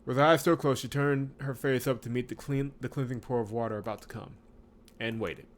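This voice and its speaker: A man hosting a visual novel playthrough, chatting casually and reading the story aloud in an expressive way with wry asides. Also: mid-range voice, brisk, slight background noise. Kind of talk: casual let's-play commentary with read-aloud narration.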